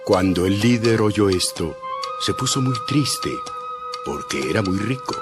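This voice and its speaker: A man with a fairly low voice reads scripted narration for a dramatized audio Bible. Loud music runs beneath his words. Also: expressive, measured pace. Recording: loud background music under the voice.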